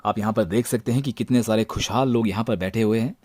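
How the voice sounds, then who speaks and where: An Indian man narrating a gadget review as its presenter, with a deadpan, tongue-in-cheek delivery. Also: lowish voice, quick pace, no echo, studio quality.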